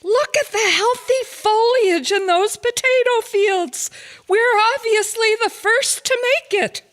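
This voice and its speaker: A woman's voice: very high-pitched, takes it slowly, fairly bright tone, heavily acted. A woman playing a plucky, adventurous beetle with a spirited, urging manner in a radio drama.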